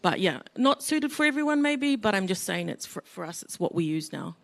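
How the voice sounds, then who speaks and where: A woman's voice: medium pitch, sing-song, fairly quick, gravelly. A woman with a New Zealand accent speaking as a panelist from a music company, enthusiastic and rambling. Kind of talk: live panel discussion.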